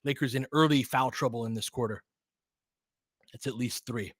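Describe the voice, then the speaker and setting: A man's voice: medium pitch, normal speed, a bit bright, breathy edge, dry recording. American man, dry-sounding casual basketball commentary. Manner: partisan fan, colloquial, clipped present-tense phrases. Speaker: play-by-play host and fan commentator.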